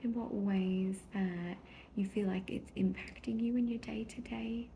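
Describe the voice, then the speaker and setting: A woman doing a soft-spoken ASMR therapist roleplay, gentle and reassuring, often slipping into a whisper. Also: mid-range voice, fairly even intonation, unhurried, vocal fry. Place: small indoor room at a desk. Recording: soft background noise, keyboard clicks. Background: computer keyboard typing.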